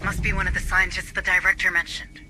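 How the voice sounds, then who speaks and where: An actor playing a measured, reassuring mission officer who guides a marine squad over comms in video game dialogue. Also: male voice, high voice, completely flat delivery, noisy background, natural voice. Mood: calm. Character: calm, reasoning male mission officer on comms.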